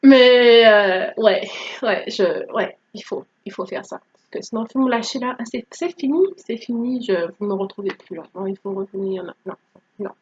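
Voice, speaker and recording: A woman's voice: medium pitch, moderate pace, lo-fi sound. A woman in her thirties from Guadeloupe, whose first language is English, telling her story in a playful, joking vlog. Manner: playful, joking, code-switching. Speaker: vlogger. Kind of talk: casual testimony vlog.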